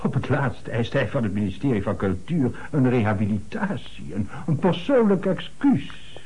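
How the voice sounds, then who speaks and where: An actor playing an earnest, formal middle-aged notary in a radio play, speaking in a measured way with dramatic emphasis. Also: male voice, mid-range voice, sing-song, a little mellow, slightly rough, low-quality recording. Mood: grave, emphatic.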